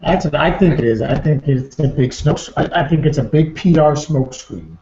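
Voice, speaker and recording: A man's voice: on the high side, normal speed, dark tone. A man co-hosting a casual online talk show, chatting informally and in a subdued way over a muffled internet call. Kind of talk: casual two-person chat. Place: indoor room, online video hangout. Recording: muffled, echoey, faintly noisy online call.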